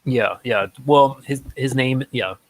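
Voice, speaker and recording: A man co-hosting a casual, unscripted talk show, speaking in a halting way with frequent ums and pauses. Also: medium pitch, no echo.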